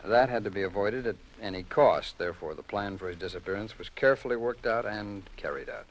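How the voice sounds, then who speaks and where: A man acting a measured, courteous American federal agent in a 1950s radio drama, speaking formally with deliberate, discreet pauses. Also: fairly low pitch, lively intonation, low-quality recording. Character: measured, courteous male federal agent explaining a secret operation.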